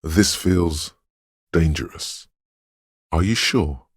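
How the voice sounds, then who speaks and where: A man voicing the eerie, brooding inner voice of a detective's intuition in a video game, with slow, ominous, dreamlike narration and a husky, gravelly quality. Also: low-pitched, no echo, clean studio sound, full character voice. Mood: ominous, musing. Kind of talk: scripted video game narration in second person.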